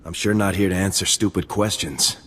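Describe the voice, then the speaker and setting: A man playing a gruff, cocky sergeant in a video game, with a curt, dismissive way of talking. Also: sits low, steady tone, a little fast, a bit bright, in a large hall, slight background noise. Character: gruff, cocky male military sergeant with a harsh temper. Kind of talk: video game cutscene acting.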